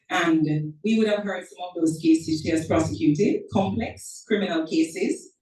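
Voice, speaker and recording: A woman reads a prepared biography at a club meeting, correcting her own small slips as she goes. Her voice is low and mellow. It is picked up from some distance in an echoey room. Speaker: introducer of the guest speaker. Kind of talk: formal introduction speech.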